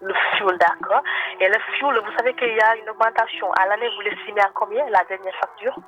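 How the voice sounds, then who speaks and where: A woman working as a telemarketer, giving a polite, explanatory sales pitch on a phone call. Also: fairly even intonation, brisk, fairly bright tone. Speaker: telemarketer selling solar panels. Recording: phone call over faint music.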